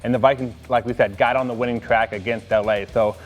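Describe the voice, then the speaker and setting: A man's voice: medium pitch, fairly even intonation, quick pace, faint music behind. A man working as a team reporter, giving conversational sports analysis.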